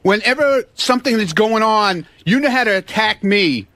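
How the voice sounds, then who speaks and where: A man's voice: high voice, animated, moderate pace, sharp tone, no room sound. A man in a heated on-air argument in a radio studio, defensive and swearing, insisting on his point. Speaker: show staffer. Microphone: studio microphone.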